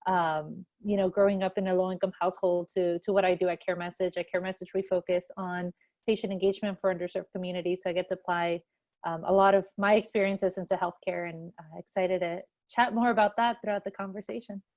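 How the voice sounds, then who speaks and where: A woman's voice: lowish voice, little pitch movement, quick pace, a little mellow, muffled recording. A woman from Mexico, introducing herself on a panel in a friendly, thoughtful way with some "um" fillers, over a video call. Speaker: panelist, product executive.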